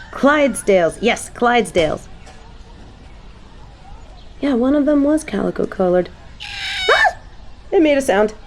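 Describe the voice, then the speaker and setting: Woman acting a prim, high-voiced, unhurried fallen angel lady. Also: darkish tone. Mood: composed, musing, then delighted, giggly. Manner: prim, formal, wordy phrasing. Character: snobby, easily delighted fallen angel woman, secretly soft. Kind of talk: scripted audio roleplay acting.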